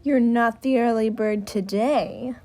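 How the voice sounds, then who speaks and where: A woman's voice: a little high, fairly even intonation, takes it slowly, a little mellow. A woman voicing a scheming, taunting young rooster prince in a storybook tale. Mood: mock sympathy. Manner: taunting, haughty.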